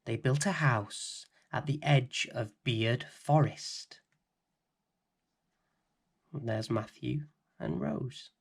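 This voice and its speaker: Slow-paced man reading a bedtime story. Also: mid-range voice, vocal fry, husky. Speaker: storybook reader and host. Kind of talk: children's bedtime story narration.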